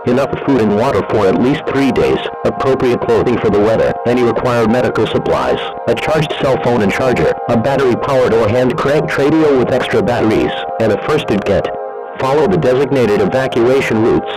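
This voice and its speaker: A man reading a scripted emergency evacuation announcement in a formal, measured, automated-sounding manner. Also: medium pitch, low-quality recording.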